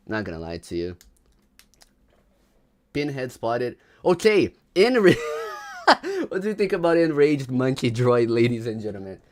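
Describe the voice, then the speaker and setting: Man, young adult, livestream host, animated, very lively pitch. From Brazil. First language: Portuguese. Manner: animated, slangy, chats with viewers. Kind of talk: casual livestream commentary.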